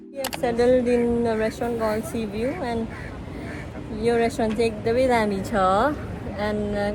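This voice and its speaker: A woman's voice: fairly high pitch, noisy background. A young woman from Sikkim, a native Nepali speaker, chatting casually as she narrates a travel vlog outdoors and in a restaurant. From Sikkim, India. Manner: chatty and easygoing, with many fillers. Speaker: vlogger. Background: background music.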